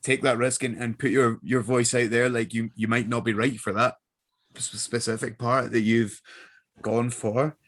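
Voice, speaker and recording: A man's voice: mid-range voice, level tone, moderate pace, fairly bright tone. A man chatting casually and giving advice as a guest voice actor, informal and emphatic, often repeating himself.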